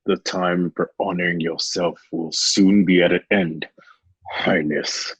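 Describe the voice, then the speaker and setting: A man playing a grim, restrained Roman gladiator in an amateur radio drama, husky and quietly threatening. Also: low-pitched, steady tone, takes it slowly, dry recording, heavily acted, poor audio. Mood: quiet menace. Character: grimly restrained Roman gladiator, defying the emperor.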